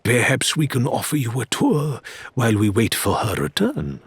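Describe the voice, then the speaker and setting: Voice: medium pitch, wildly swooping pitch, moderate pace, husky, dry recording, exaggerated voice. A man voicing a flamboyant pirate crewman with mock-polite, lilting menace for an audiobook. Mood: courteous. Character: Faisal, suave theatrical male pirate crewman, mock-courteous menace.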